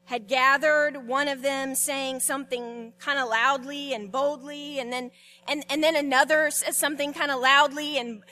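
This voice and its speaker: A woman pastor delivering a sermon, telling the story expressively with repetitions and dramatic pauses. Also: high-pitched, fairly even intonation, pushed and bright.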